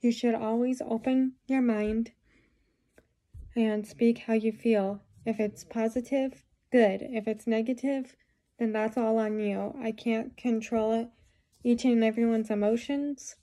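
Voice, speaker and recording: Slightly hoarse young woman with a cold, rambling advice into her phone, flat delivery, kinda high pitch. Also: measured pace. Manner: rambling advice with many fillers. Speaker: vlogger. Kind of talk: casual talk to camera. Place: small room indoors. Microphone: phone camera at close range.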